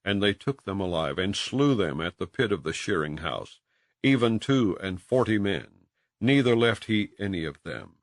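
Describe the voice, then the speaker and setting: Deep-voiced man solemnly reading scripture. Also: lively intonation, normal speed, dry recording, studio quality. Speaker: audiobook reader. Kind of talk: scripted scripture narration, King James Bible.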